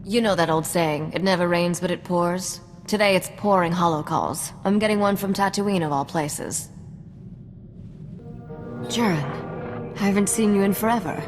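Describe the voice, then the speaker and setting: A woman's voice: on the low side, normal speed, in a large hall, over music, lightly acted. An actress playing a shrewd, self-assured woman mechanic among space smugglers, with a dry, sardonic manner, in a video game. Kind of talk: video game cutscene acting.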